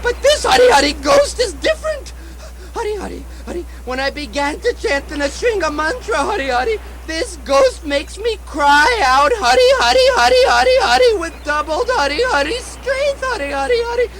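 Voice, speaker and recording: Puppet-show fisherman, played by a woman: very high, swooping, haunted, stammering, chant-prone, noisy crowd around. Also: sing-song, normal speed. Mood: fearful, distraught. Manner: stammering, constantly breaking into a repeated chant. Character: terrified, ghost-haunted male fisherman, frantic and superstitious. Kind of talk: theatrical puppet-show acting.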